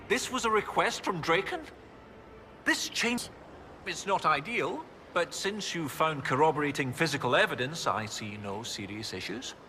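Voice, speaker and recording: A man playing an excitable, wordy academic historian in a fantasy game, animated and earnest. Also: a little high, slight background noise, full character voice. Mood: astonished, excited.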